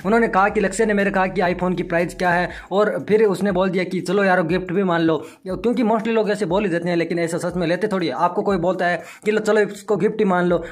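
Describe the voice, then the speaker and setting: A man giving commentary straight to his viewers as an online video host, repeating points for emphasis. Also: sits high. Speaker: YouTube commentator.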